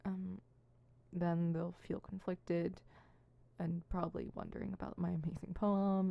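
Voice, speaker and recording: A woman's voice: on the low side, vocal fry, highs cut off. A woman playing an insecure, theatrical supervillain rehearsing monologues in an ASMR roleplay, switching between fumbling mutters and pompous recital. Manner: fumbling self-conscious muttering broken by pompous verse recital. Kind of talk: ASMR roleplay, scripted solo audio drama.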